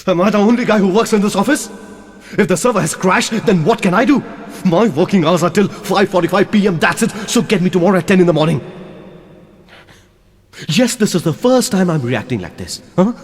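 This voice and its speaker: A man's voice: high voice, animated, fairly quick, slightly rough. A man playing a young Indian man, pleading. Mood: irritated. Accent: Indian English. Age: young adult. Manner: swings between shouting and hushed pleading. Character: young man Raj, pleading with old college love. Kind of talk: amateur audio drama acting.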